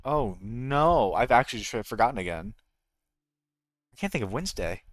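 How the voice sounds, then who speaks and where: A man's voice: mid-range voice, animated, moderate pace, dry recording, studio quality. A man with an American accent chatting casually as a game streamer, rambling and jokey, often correcting himself mid-thought. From United States. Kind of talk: casual livestream chat.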